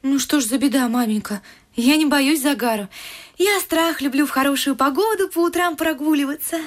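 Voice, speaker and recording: A woman's voice: sits high, a little fast, natural voice. A woman playing a carefree, exuberant young country noblewoman in a classic vaudeville radio play, chirpy and girlish. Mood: carefree, eager.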